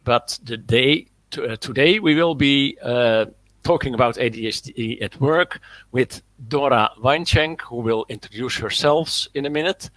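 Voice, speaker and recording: A man hosts the webinar, pausing often and leaning on fillers. His voice sits at a middle pitch, and the sound is dry, over a video call. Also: a bit bright, dry recording. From the Netherlands. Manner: hesitant, many fillers. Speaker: webinar host and coach. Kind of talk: hosting a webinar.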